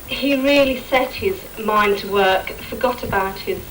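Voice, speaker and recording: A woman's voice: medium pitch, measured pace, fairly bright tone. A woman giving scripted rhyming storytelling narration as the narrator of an amateur pantomime. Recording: distant, noisy amateur stage recording.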